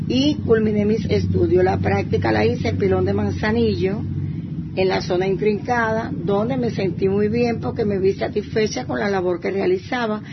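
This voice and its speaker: An older woman from Santiago de Cuba province with an eastern Cuban accent, a retired teacher giving a personal testimony. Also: medium pitch. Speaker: retired primary school teacher, interviewee. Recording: muffled, faint background noise.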